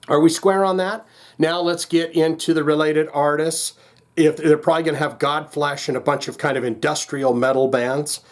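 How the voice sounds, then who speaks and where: A man hosting a casual music reaction, thinking aloud in a rambling, jokey, slangy way. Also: mid-range voice.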